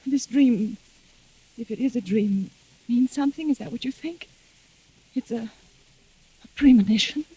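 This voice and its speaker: Frightened young wife, high-pitched, breathy and halting, 1940s radio drama, sizzling old recording. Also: female voice, mellow, low-quality recording. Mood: subdued, uneasy. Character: frightened young wife, anxious and suspicious, haunted by nightmares. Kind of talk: 1940s radio mystery acting.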